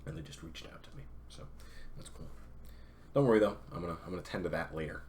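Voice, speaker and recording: A young man with a lowish voice reads an old novel aloud at an easy pace. He keeps stopping to chat with his viewers, often correcting himself mid-phrase. A faint hiss sits under the voice. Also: lively intonation, darkish tone. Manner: unhurried reading broken by chatty, self-correcting asides. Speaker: streamer reading a novel aloud. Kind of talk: reading a classic novel aloud with casual asides to chat.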